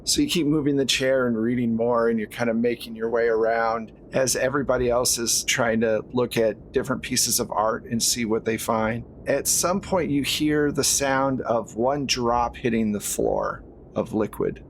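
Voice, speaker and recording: A man's voice: medium pitch, normal speed, low-quality recording. A man narrating a horror tabletop roleplaying game as game master, in a conversational way with casual asides. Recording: clear background noise.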